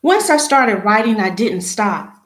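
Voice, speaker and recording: A woman reading a children's picture book aloud, phrase by phrase, with expressive emphasis at the start of sentences. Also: mid-range voice, unhurried, noisy background. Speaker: read-aloud storyteller. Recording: echoey room.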